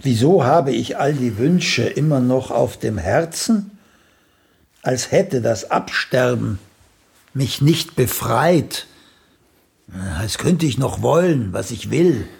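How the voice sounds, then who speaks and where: A male actor in a German radio play, playing an older celebrated playwright who is a condescending, bluntly critical mentor with a gravelly, husky voice. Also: medium pitch, animated, slow pace, a little mellow, exaggerated voice. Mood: reciting slowly.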